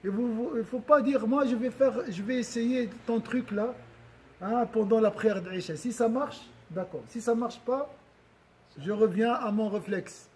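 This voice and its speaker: A man with a high, dark-toned voice leads a religious lesson for a gathering. He often puts questions to his listeners, and he repeats and restarts phrases as he talks. Faint noise runs behind him. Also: unhurried. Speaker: religious teacher.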